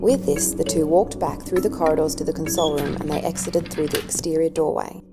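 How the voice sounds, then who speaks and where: A woman with a low-pitched voice narrates a scripted story. Music plays clearly underneath her reading. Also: fairly even intonation, a little fast.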